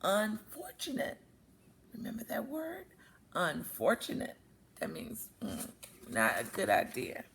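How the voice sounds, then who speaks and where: A woman's voice: fairly low pitch, wildly swooping pitch, unhurried, vocal fry, a bit bright, in a whisper. A woman reading a children's story aloud expressively, as a storyteller for young listeners. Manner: explains words with questions to young listeners.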